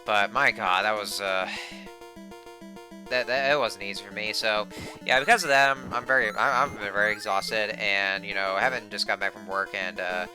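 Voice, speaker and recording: Young male let's-player, hoarse and a bit low-voiced, rambling casually with lots of fillers; game music audible behind. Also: fairly even intonation, moderate pace. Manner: rambling, many fillers and restarts. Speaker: gameplay commentator. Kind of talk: casual let's play commentary.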